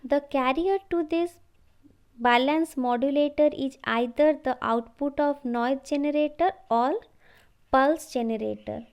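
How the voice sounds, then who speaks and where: Slow, high-pitched woman lecturer. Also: darkish tone. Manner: halting, repeats phrases, often says okay. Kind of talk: explanatory slide lecture.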